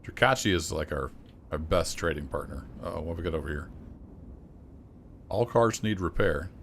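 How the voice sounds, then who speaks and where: A man streaming a city-building game, chatting casually and thinking aloud as he plays, his voice a little rough. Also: extremely low pitch, normal speed, slight background noise. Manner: relaxed, thinking aloud with fillers and restarts. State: slightly rough voice. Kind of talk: casual gameplay commentary.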